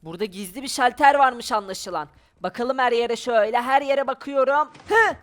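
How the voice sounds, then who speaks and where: A woman's voice: a little high, moderate pace. A woman giving playful game commentary, exclaiming and narrating each of her moves aloud. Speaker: gaming video player. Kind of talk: playful Minecraft adventure commentary.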